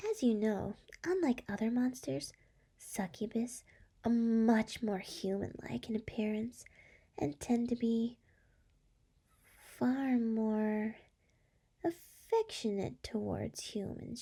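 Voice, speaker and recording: A woman voicing a seductive, scheming succubus demon lord in an ASMR roleplay, breathy and soft, whispering with a teasing purr close to the microphone. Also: a little high, heavily acted. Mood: coaxing.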